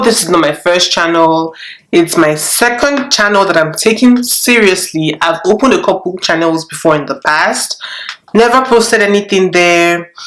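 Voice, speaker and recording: A woman's voice: fairly low pitch, a bit bright. A woman of twenty-one with a Nigerian accent, chatting casually to camera as a YouTuber, rambling a little with frequent restarts.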